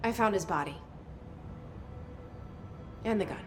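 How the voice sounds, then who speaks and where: An actress playing a guarded young agency director in a video game, speaking in a terse, reserved, plain way. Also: female voice, mid-range voice, expressive, measured pace, lightly acted. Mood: hesitant. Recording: echoey hall with faint noise.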